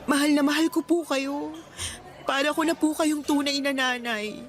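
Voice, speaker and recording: An actress playing a devoted, affectionate foster daughter in a Tagalog radio drama, polite and emotional. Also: female voice, sits high, steady tone, normal speed, close to an everyday voice. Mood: pleading, loving. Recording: clear background music under the dialogue.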